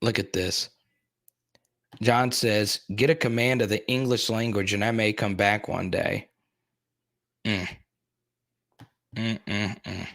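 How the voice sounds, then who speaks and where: A man's voice: lowish voice, fairly even intonation, slow pace, gravelly, long pauses, fairly bright tone. A young man hosting a sports live stream, rambling casually while reading and answering viewers' chat comments in his plain, everyday voice.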